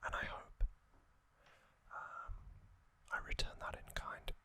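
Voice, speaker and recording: Slow whispering man, ASMR host. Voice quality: soft unvoiced whisper throughout. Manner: gentle, unhurried, with frequent soft hesitations. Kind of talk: whispered ASMR trivia recap.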